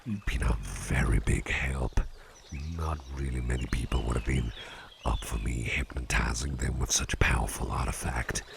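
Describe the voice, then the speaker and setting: A man playing a calm, playful businessman boss in a slow, intimate ASMR roleplay, speaking softly and soothingly, often close to a whisper, with a gravelly voice. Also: noisy background, exaggerated voice. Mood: gentle, reassuring. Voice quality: very deep, gravelly. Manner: soft, soothing, unhurried, often dropping near a whisper. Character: calm, playful businessman boss hypnotizing his employee with a pendant.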